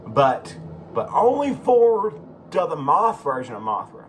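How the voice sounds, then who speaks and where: A man giving casual, rambling video commentary as a host, halting and repeating himself, with informal, sweary phrasing. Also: a little high, big pitch swings, slight background noise. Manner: profane, informal. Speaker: YouTube host.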